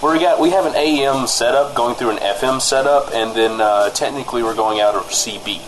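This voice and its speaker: A man's voice: mid-range voice, brisk, crowd noise, close to an everyday voice, low-quality recording. A man playing an exasperated, rambling small-town radio news host in a comedy show. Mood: mock-serious, rambling. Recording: clear background noise.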